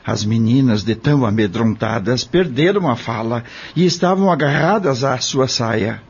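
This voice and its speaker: A man plays a troubled Brazilian witness narrating a radio drama. His husky voice is grave and deliberate. The recording is slightly muffled. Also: medium pitch, animated, darkish tone. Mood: grave, shaken. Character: troubled imprisoned male witness recounting a crime. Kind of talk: scripted first-person drama narration. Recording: dull lo-fi audio.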